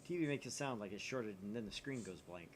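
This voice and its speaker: Man reading expressively, lively pitch swings. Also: fast talker, slight background noise. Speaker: gaming channel host. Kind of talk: expressive visual novel read-aloud.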